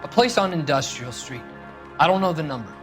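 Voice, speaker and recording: An actor playing a cornered criminal informant in a video game, pleading and bargaining insistently. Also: male voice, a little high, lively intonation, brisk, fairly bright tone, soft background music. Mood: anxious bargaining. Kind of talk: scripted video game dialogue.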